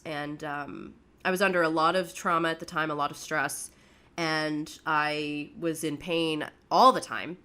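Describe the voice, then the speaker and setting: Young woman from canada telling her own story on a podcast, lowish voice, laughs at herself a lot. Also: pushed and bright. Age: young adult. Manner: candid and chatty, laughing at herself. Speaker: podcast co-host. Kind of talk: casual personal storytelling.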